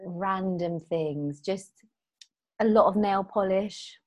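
A woman in her thirties co-hosting a casual chat, animated and playfully protesting, calling her friend "babe.". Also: mid-range voice, slow. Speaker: podcast co-host.

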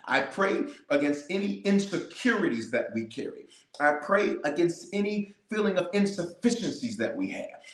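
A man's voice: high voice, big pitch swings, normal speed, roomy sound, low-quality recording. A man, a bishop, leading a livestreamed prayer with fervent, repetitive declarations and calls to the viewers. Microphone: cameras in front of him.

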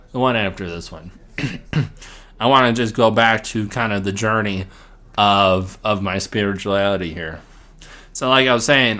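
A man's voice: mid-range voice, a bit bright. Solo podcast host, middle-aged man from Illinois, rambling, self-interrupting, laughs between phrases. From Illinois, United States. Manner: rambling, many restarts and repeated words, thinking aloud. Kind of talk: casual solo podcast monologue.

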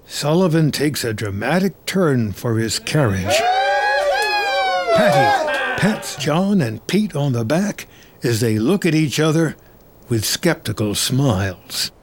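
A man reading screenplay action as scripted narration, in a measured, present-tense manner. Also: mid-range voice, lively intonation, heavy background noise.

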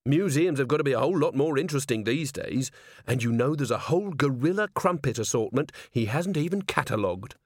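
A man's voice: mid-range voice, animated, brisk, no echo, clean studio sound, close to an everyday voice. A man playing a cheerful, innocent young male watchman, polite, guileless and enthusiastic, in a storybook reading.

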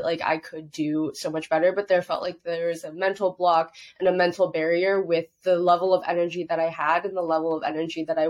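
A woman's voice: fairly low pitch, darkish tone. A young woman in her twenties who grew up in Hong Kong, chatting openly as a podcast guest with lots of "like" fillers. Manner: chatty, heavy use of "like", laughs easily. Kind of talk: casual interview chat.

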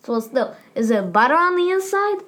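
A young woman with a slightly raised pitch, co-hosting a casual chat. She jokes and questions with quick comebacks. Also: sing-song, fairly bright tone. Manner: joking, quizzical, quick with comebacks. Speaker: podcast co-host.

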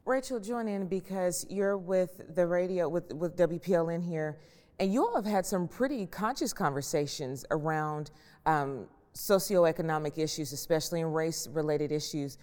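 A woman's voice: fairly low pitch, moderate pace, a little mellow. A woman hosting a panel interview, putting questions in a conversational way. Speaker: host and moderator. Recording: long echoing reverb.

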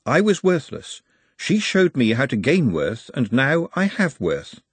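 A man's voice: medium pitch, huge pitch swings, breathy edge, no echo, pitched above the performer's own voice, exaggerated voice. A man doing an earnest, literal dwarf. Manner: slow, measured and literal. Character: earnest formal male dwarf, plain-spoken and serious.

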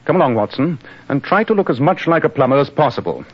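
An actor playing a brisk, self-assured British gentleman detective, clipped and authoritative, in 1940s radio style. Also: mid-range voice, big pitch swings, over loud music, close to an everyday voice. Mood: wry, confident. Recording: dull 1940s radio recording.

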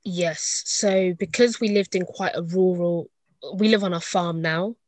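A woman's voice: on the low side, fairly even intonation, a little slow, a little mellow, no echo. A woman in her twenties, born in Uganda and raised in England, talking through her upbringing in a reflective, chatty way with frequent ums. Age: 20s. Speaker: interview guest. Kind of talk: casual conversational interview.

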